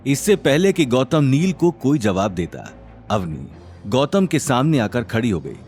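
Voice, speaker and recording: A man's voice: mid-range voice, very animated, a little slow, darkish tone, soft background music. A man narrating a scripted drama serial in a dramatic storytelling style.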